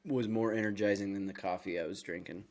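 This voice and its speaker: A man's voice: lowish voice, fairly even intonation, fast, a little mellow. A man co-hosting a gaming podcast, chatting informally and wryly in an anecdotal way. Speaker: podcast co-host. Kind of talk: casual gaming podcast chat.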